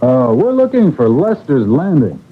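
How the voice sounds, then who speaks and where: An actor playing a hearty, assured detective on a manhunt in an old-time radio drama. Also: male voice, mid-range voice, huge pitch swings, measured pace, husky, exaggerated voice. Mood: apologetic. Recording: dull narrow radio recording, faint noise.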